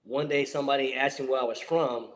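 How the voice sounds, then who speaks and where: A man in his forties, a Marine veteran from San Diego, telling stories in a casual chat on a video call. He talks to the audience in an animated way and laughs often. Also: mid-range voice, fast, slight background noise. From San Diego, USA.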